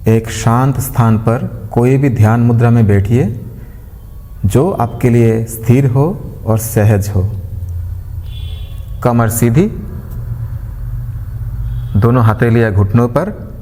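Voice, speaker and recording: A man guiding a meditation as a yoga teacher, giving gentle instructions in unhurried phrases with pauses. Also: medium pitch. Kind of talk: guided meditation with mantra chanting. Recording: long hall-like reverb.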